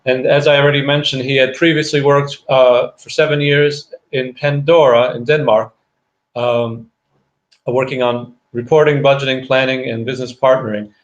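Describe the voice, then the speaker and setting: Male conference host, average pitch, hesitant semi-formal introductions, heard over muffled online-call audio. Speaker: event host introducing a speaker.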